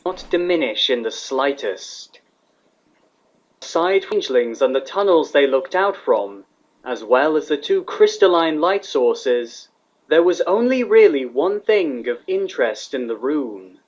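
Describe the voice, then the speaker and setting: A man's voice: a little high, big pitch swings, a little slow. A man reading fan fiction aloud as a scripted story narrator. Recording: dull, lo-fi sound.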